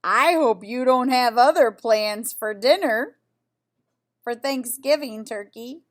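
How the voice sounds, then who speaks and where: A woman's voice: on the high side, takes it slowly. A woman voicing a big, genial male moose who is eager, polite and hearty in a read-aloud storybook. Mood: politely insistent. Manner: hearty, calling out.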